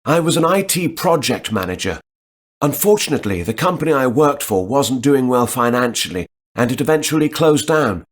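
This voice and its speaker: A man playing a job applicant in a scripted listening dialogue, answering questions in a relaxed way and thinking aloud. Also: medium pitch, normal speed.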